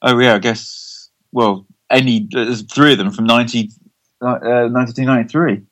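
A man's voice: medium pitch, fairly even intonation, normal speed, a bit bright, no echo. A British man co-hosting a podcast, chatting casually and hesitantly through quiz banter in a bemused, joking way. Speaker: podcast co-host.

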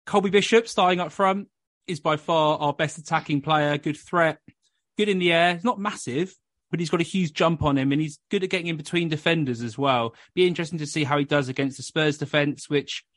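A man's voice: a little high, brisk, a bit bright, no room sound, clean studio sound. A man hosting a football podcast, chatting casually with blunt, pessimistic banter. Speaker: podcast host.

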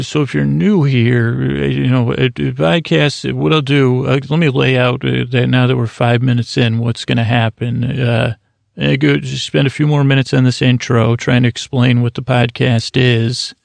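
A man hosting a bedtime podcast, talking in a meandering, halting way full of fillers and self-corrections, kept deliberately slow and mild so listeners can drift off. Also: medium pitch, flat delivery, gravelly, darkish tone, no room sound. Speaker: podcast host. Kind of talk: rambling bedtime podcast intro.

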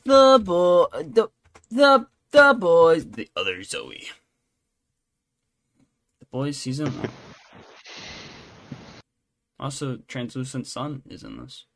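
A man talking casually as a podcast co-host, in a halting, rambling way. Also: fairly high pitch, expressive, a bit bright. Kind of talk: casual chat.

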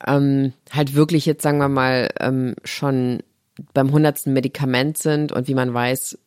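A woman's voice: a bass voice, level tone, measured pace, darkish tone, clean tone, no room sound, clean studio sound. A middle-aged woman from Germany, a guest on a podcast talking about her health platform, explaining things earnestly and at length. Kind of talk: podcast conversation.

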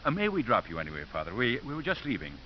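A genial Cardinal, affable and informal for his high office. His pitch moves freely, and the 1950s radio recording is dull with light hiss. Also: wildly swooping pitch, racing. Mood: jovial. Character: genial, informal male Cardinal, old friend.

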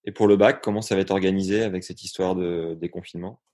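A man's voice: low voice, fairly even intonation, fast talker, warm tone. A man interviewing a young athlete, informal and teasing. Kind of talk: casual, joking interview.

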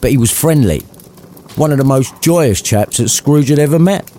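A man's voice: medium pitch, lively intonation, normal speed, warm tone, a little hiss or hum. A man reading an irreverent storybook narration, cheeky and conversational. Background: background music.

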